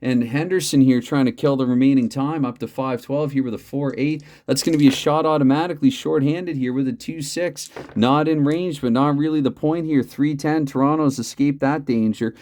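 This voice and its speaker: A man hosting a tabletop hockey replay at home, mixing mock play-by-play with chatty, thinking-aloud dice math in a loud voice he keeps reined in. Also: mid-range voice, steady tone, moderate pace, few pauses. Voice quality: loud voice, holding back. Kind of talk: mock play-by-play commentary between dice rolls.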